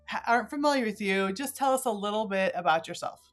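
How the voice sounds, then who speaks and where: A woman's voice: medium pitch, animated, fast, faint music behind. A woman hosting an interview podcast, talking in a conversational way to listeners and a guest. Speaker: podcast host.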